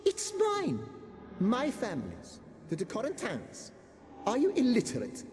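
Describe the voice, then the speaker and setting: A bickering gambler's ghost. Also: male voice, extremely high pitch, wildly swooping pitch, measured pace, darkish tone, exaggerated voice. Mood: indignant. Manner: indignant, theatrical, exasperated. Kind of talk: video game voice acting. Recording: game audio with reverb and music.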